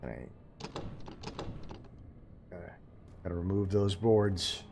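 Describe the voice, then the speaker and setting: A man gives casual live commentary while playing a game. His voice is a bit low, and his talk is loose and chatty, with quick jokes for his viewers. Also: expressive, vocal fry, a little mellow, soft background music. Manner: chatty, joking, talks to chat while playing. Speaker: streamer.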